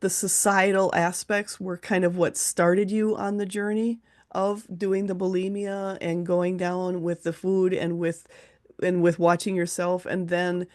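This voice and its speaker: A woman with a low-pitched voice hosts a relaxed interview. She speaks earnestly and in long, winding sentences, often passing on messages from her spirit guides. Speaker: host, teacher and medium. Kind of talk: casual interview chat.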